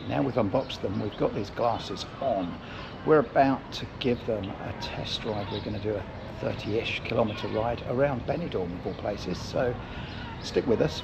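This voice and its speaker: A man's voice: medium pitch, vocal fry. A man co-hosting a casual product review vlog, chatting in an easygoing way and laughing often while out on a bike ride. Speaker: vlog co-host. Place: outdoors on a hillside on a bike ride. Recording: outdoor noise behind.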